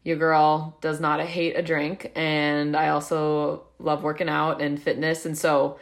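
A woman's voice: low voice, monotone, a little slow. A woman hosting a podcast, asking questions in a friendly, chatty way with casual slang. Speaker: podcast host. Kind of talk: casual interview chat.

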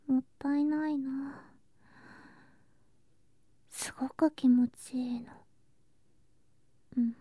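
A woman playing a sweet, clingy younger girl cousin in an ASMR voice drama, soft and breathy, often whispering, with a cutesy, affectionate manner. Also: high voice, no echo, studio quality, full character voice. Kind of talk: Japanese ASMR situation voice drama. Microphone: close ASMR microphone.

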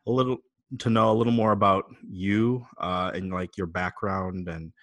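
A man with a fairly low voice hosts a podcast about founders. He opens with a prepared script, then asks his questions in a looser way with small hesitations and fillers. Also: flat delivery, moderate pace, fairly bright tone. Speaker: podcast host.

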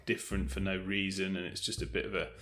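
An Englishman talks in a low-pitched voice as a guest in an interview. He is thoughtful and a little hesitant, qualifying his points in loose, conversational phrases. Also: completely flat delivery, fast talker, fairly bright tone. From Bristol, England. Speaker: guest, audio drama director.

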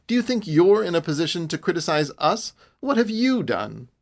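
A man voicing a bitter, easily provoked young man in a storybook, forceful and emphatic. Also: on the high side, sing-song, measured pace, voice pushed up. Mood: bitter, savage.

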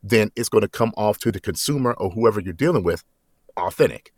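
A man who works as a voice actor, speaking as an interview guest in a relaxed way and often checking in with "you know.". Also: on the low side, brisk, no room sound, studio quality. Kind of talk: conversational interview.

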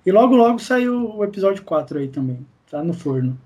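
High-pitched man, a podcast guest. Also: very animated, a little fast, mellow. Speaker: podcast guest, audio drama creator. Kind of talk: casual interview chat.

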